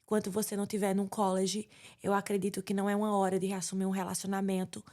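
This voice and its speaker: A woman's voice: medium pitch, monotone, fast, a little mellow. A woman pastor in her forties from Paraíba with a Northeastern Brazilian accent, speaking earnestly as a guest in an interview. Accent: Northeastern Brazilian Portuguese. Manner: earnest, reflective, with frequent restarts. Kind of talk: conversational interview.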